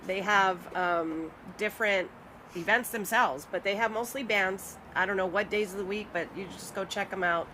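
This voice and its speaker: A middle-aged woman hosting a live chat show in a loose, talkative way. She laughs easily and drops Spanish words into her English. Traffic noise and passing vehicles hum clearly behind her. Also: medium pitch, normal speed, pushed and bright. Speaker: show host. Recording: noisy background with passing vehicles.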